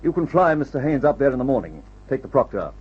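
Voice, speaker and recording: An actor playing a brisk, confident male flying adventurer who leads his team, in the style of a mid-century radio adventure serial. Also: mid-range voice, very animated, darkish tone, husky. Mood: brisk, assured. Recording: muffled, dull old radio serial recording.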